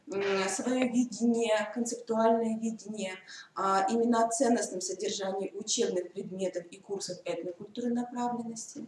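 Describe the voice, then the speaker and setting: A woman's voice: a little high, dark tone. A woman moderating a seminar, formally introducing the speakers and politely addressing her colleagues. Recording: echoey room, mic far off, faint noise.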